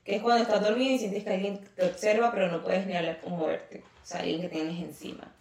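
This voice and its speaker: A woman's voice: mid-range voice, fairly even intonation, fast talker, vocal fry, darkish tone, far from the mic. Chatty Colombian coastal woman, roomy sound. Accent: Colombian Caribbean coast. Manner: laughs often, teasing and chatty. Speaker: podcast co-host. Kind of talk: casual chat. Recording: echoey room, off-mic.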